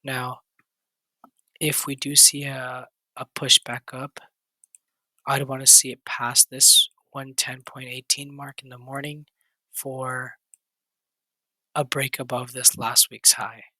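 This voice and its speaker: A man with a raspy, hoarse voice, going over stock charts in a quick, casual walkthrough with fillers and restarts. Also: mid-range voice, little pitch movement, bright, forceful tone, no room sound, poor audio. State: hoarse, recovering voice. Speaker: stock trading channel host.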